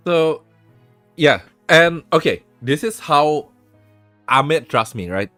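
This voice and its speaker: A man hosts a relaxed live stream and talks through relationship advice at an unhurried pace. He is blunt and swears freely, often restarting his sentences. His voice sits dry and close to the mic, with faint music playing underneath. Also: a little high, dry recording. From Indonesia. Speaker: streamer host.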